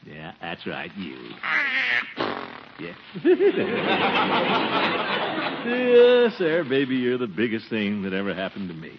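Jolly, fussy small-town uncle, hugely animated delivery, old muffled noisy radio recording. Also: male voice, mid-range voice, slow, pauses often. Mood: tender. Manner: chuckling, theatrical, fussy, exclaims "by George". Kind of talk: 1940s radio comedy acting.

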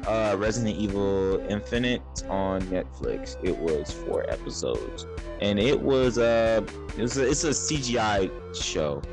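A young man hosting a podcast, chatting casually and rambling, often restarting his sentences. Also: lowish voice. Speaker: podcast host. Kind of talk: casual chat.

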